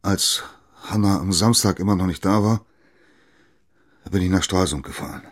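A bitter, defensive widower in a radio drama. His lowish voice is rough and husky, and he speaks in a curt, strained, defiant way. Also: normal speed. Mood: guarded. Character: bitter, defensive bereaved husband, a sick grown man.

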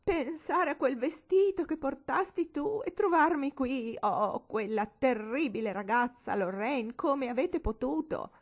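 A woman voicing a hysterical elderly lady in an audiobook, wailing and shrill, weeping as she cries out. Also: expressive, a little slow, hardly any pauses, exaggerated voice. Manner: wailing, high and shrill, drawn out.

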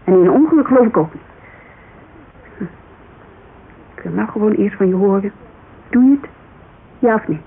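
Radio drama role: headstrong, insistent woman played by an actress, exasperated and plain-spoken, creaky edge, slight hiss. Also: medium pitch, expressive, moderate pace, pauses often, close to an everyday voice. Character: headstrong, blunt businesswoman client pressing a detective. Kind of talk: Dutch radio drama acting, conversational. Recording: narrow, noisy, creaky-sounding old radio broadcast.

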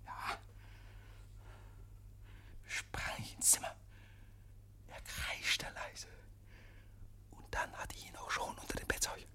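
An actor playing a deranged, obsessive murderer confessing in halting, breathy whispers, as in a 1950s German radio drama. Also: male voice, close to an everyday voice. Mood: grim, drained. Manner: halting, feverishly intense, repeating phrases.

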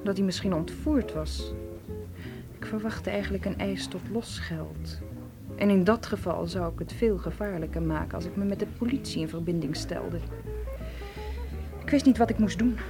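A woman's voice: mid-range voice, normal speed, music in the background, natural voice. An actress playing an anxious, evasive female tour guide in a radio drama, explaining herself hesitantly and defensively. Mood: anxious, confessing.

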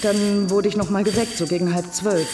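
A woman's voice: medium pitch, fairly even intonation, fairly quick, close to an everyday voice. A woman playing a reserved, independent-minded painter giving testimony in a radio crime drama, precise and matter-of-fact. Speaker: actor. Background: music.

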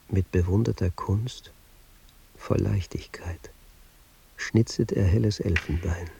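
A deep, very mellow male reciter. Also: husky, exaggerated voice, low-quality recording. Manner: measured, intimate, hushed phrasing. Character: tender, solemn male reciter of ancient verse. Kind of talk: poetic recitation in radio drama.

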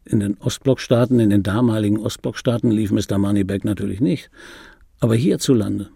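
A male actor playing a vain, aggrieved middle-aged dubbing actor in a German radio drama monologue, insistent and repetitive, with a raspy voice. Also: fairly low pitch, brisk, dark tone, no room sound, clean studio sound. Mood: proud, aggrieved. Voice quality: raspy, gravelly. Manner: insistent, repetitive, self-justifying. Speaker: narrator of a radio play. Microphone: close studio microphone, dry.

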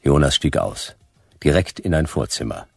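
A man playing a laconic hard-boiled private detective in a radio drama, narrating in a dry, clipped, deadpan way with a gravelly voice. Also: very deep voice, moderate pace, no echo, soft background music, heavily acted.

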